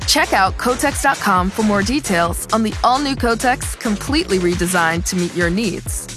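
A woman's voice: mid-range voice, expressive, normal speed. Conversational female ad voice over music. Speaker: advertisement voice-over. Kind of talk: conversational scripted ad read. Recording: music bed clearly behind the voice.

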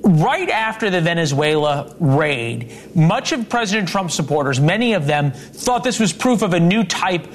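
A male news host with a slightly high voice, talking in a loose, conversational way. He tosses in wry asides and a few ums and uhs as he sets up the questions. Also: big pitch swings, moderate pace, few pauses. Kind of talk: cable news interview.

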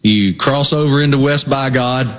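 Southwest virginia guy cohosting sports radio, folksy and emphatic, casual talk, medium pitch. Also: normal speed, a bit bright. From Southwest Virginia, United States. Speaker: sports radio show co-host. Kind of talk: casual chat.

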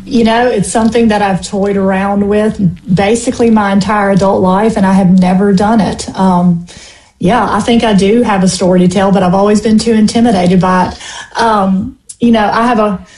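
Mellow Southern woman with lots of ums. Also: medium pitch, fairly even intonation, normal speed, roomy sound, low-quality recording. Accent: Mississippi Delta Southern. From Mississippi Delta. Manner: chatty, many ums and you-knows. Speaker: book festival organizer. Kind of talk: casual radio interview.

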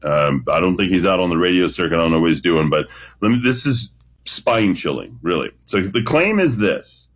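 A man with a very deep, low voice talks on a late-night radio show. He sounds sure of himself and tells his story with emphasis, leaning on dramatic pauses. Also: lively intonation. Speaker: radio guest calling in. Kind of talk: talk radio chat.

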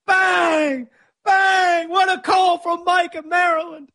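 A man hosting a sports radio show, taking calls and talking in a hyped way with shouted bursts. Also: very high voice, steady tone, takes it slowly, creaky.

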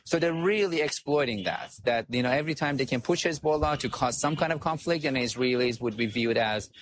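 A man's voice: mid-range voice, slight background noise. A man from Iran, a guest analyst on a talk show, speaking firmly and with emphasis. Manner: firm, measured, emphatic.

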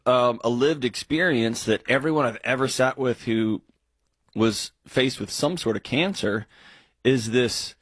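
A man's voice: medium pitch, fairly bright tone, breathy edge, no room sound, studio quality. A man in his forties co-hosting an advice call-in show, talking earnestly and reflectively with frequent restarts. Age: 40s. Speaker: co-host.

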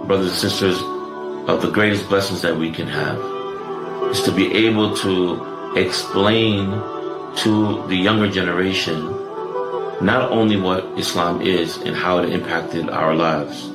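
Low-voiced, calm male community speaker. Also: reverberant, loud music behind the voice, low-quality recording. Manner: measured, soft-spoken, calm. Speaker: speaker at an Islamic center. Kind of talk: address to the community.

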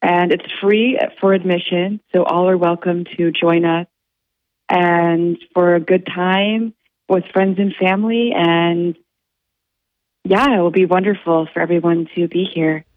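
Slow, halting woman on a phone line. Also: on the low side, fairly even intonation, no echo. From United States. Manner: halting, many fillers and restarts. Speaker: guest artist. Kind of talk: radio interview.

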